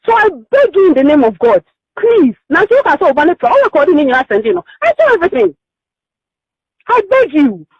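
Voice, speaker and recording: A woman's voice: very high-pitched, sing-song, brisk, dry recording, dull audio. A Ghanaian-accented woman in a heated private phone conversation, talking in an insistent, repetitive, rambling way over a recorded call. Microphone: telephone.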